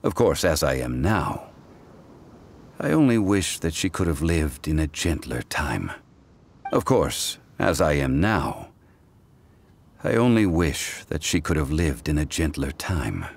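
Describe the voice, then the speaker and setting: An actor playing an ancient god in human guise in a video game, speaking in a formal, deliberate, reflective way. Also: male voice, a bass voice, very animated, vocal fry, slightly rough, heavily acted. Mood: calm, wistful. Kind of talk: scripted game voice acting.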